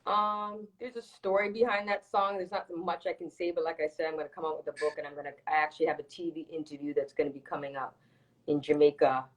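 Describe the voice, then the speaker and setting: A woman's voice: deep voice, level tone, fast, rough recording. A woman from Toronto, a singer-songwriter guest, talking candidly and casually in an interview and restating her points emphatically. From Toronto, Canada.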